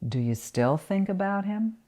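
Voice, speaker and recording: A woman playing a gentle therapist who speaks softly and encouragingly. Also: medium pitch, big pitch swings, normal speed, natural voice. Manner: soft, encouraging. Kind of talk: acted therapy dialogue.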